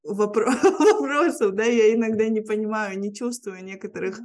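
A woman's voice: medium pitch, normal speed, darkish tone. A woman from Lithuania, a PR specialist and journalist, chatting reflectively as a guest in an interview and searching for words as she goes. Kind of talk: casual interview conversation.

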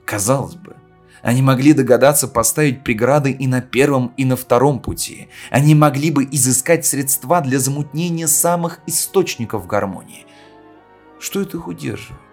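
A man playing a solemn poet who delivers a memorial speech in a measured, declamatory way with deliberate pauses. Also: mid-range voice, a little mellow, faint music behind, close to an everyday voice. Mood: solemn, argumentative. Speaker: reader of a poet's speech in an audio play. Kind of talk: literary oration, declaimed reading.

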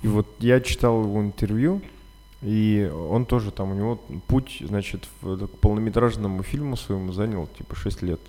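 A man hosting a casual interview, telling things in slangy, colloquial and animated language. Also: on the low side, moderate pace. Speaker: podcast host. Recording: long hall-like reverb.

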